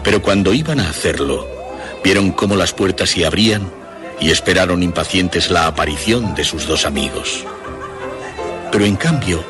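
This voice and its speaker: A deep-voiced man narrates horror fiction. His delivery is dramatic and deliberate, with animated intonation over prominent music. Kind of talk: dramatic scripted horror storytelling.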